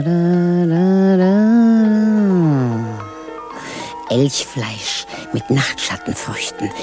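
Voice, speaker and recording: A woman's voice: very deep voice, exaggerated voice. A woman in a radio drama speaking in a dry, matter-of-fact way, later declaiming a strange incantation. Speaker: radio drama lead character.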